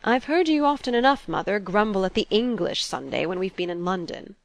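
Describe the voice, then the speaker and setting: A woman reading a storybook as an ironic, impatient young gentleman, polite but argumentative. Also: mid-range voice, lively intonation, brisk, creaky, no room sound.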